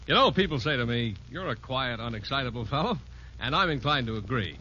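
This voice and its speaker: A man reading a scripted commercial as a pitchman on old-time radio. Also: expressive, a little fast, over loud music. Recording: dull, noisy vintage radio recording.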